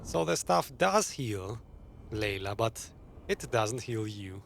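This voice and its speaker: A man's voice: fairly low pitch, sing-song, takes it slowly, vocal fry, slight background noise. A man narrating a video game story recap as dramatic commentary. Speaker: gaming channel recap narrator. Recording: loud music under the voice.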